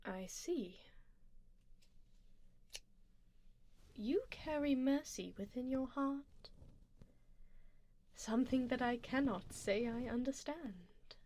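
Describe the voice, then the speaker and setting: A woman voicing a haughty, doting vampire duchess in an ASMR roleplay, speaking formally and softly, close to the microphone, with drops into whispers. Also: sits high, slow. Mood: indulgent, composed. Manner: formal, aristocratic phrasing, soft and breathy with drops into whispers. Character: haughty, doting female vampire duchess, refined and coolly menacing. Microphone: close binaural ASMR microphone.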